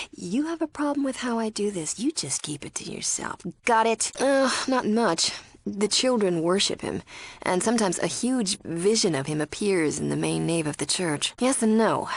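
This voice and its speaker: An actress playing a wary, sardonic young spy undercover in a cult, speaking conversationally as a video game character. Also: female voice, medium pitch, gravelly, no echo. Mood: sarcastic, irritated.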